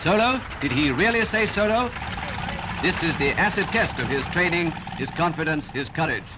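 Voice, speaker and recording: A man's voice: on the high side, expressive, normal speed, background noise. A British man reading scripted 1930s newsreel narration in a grandiose, declamatory, rousing manner. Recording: old film soundtrack from VHS, loud music. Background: loud newsreel music.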